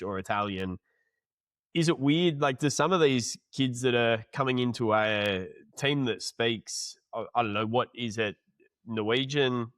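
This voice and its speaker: A man's voice: medium pitch, fairly bright tone, no echo, studio quality. A male podcast host asking long, rambling questions in a casual interview.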